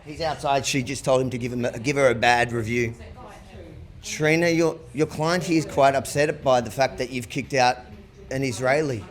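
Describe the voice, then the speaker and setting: A man's voice: medium pitch, moderate pace. A man reporting on the street, firing pointed, challenging questions. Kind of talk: confrontational street interview.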